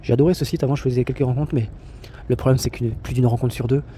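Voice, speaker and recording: A man's voice: medium pitch, fairly even intonation, fast, warm tone, slight background noise. A man talking casually about solo travel, rambling with many fillers, restarts and blunt slang. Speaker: travel vlogger. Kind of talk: casual spoken monologue.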